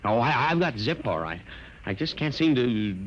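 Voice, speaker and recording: An actor playing a meek, hapless young American lawyer in 1950s radio style, hesitant and apologetic. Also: male voice, mid-range voice, big pitch swings, normal speed, natural voice. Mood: nervous. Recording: dull, noisy vintage radio recording.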